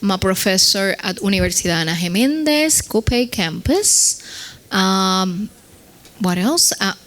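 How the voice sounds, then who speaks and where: A woman's voice: mid-range voice, animated, a little slow, a bit bright. A woman, a professor, introducing herself casually and thinking aloud as she goes.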